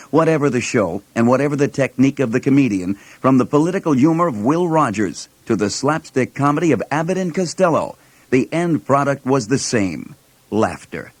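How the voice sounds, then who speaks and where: A man's voice: medium pitch, no room sound. Man narrating, dry close sound. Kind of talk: scripted documentary narration.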